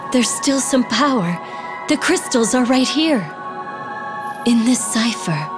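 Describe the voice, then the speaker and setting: A determined young sorceress princess speaks over clear music in a fairly high voice. Her delivery is deliberate and incantatory, full of fantasy game drama. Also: expressive. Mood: solemn resolve.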